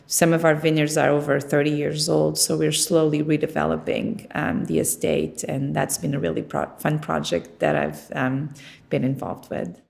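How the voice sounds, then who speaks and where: A woman's voice: deep voice, flat delivery, moderate pace, gravelly, a little mellow. A middle-aged woman from Portugal, talking conversationally about her work in an interview. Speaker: winemaker being interviewed.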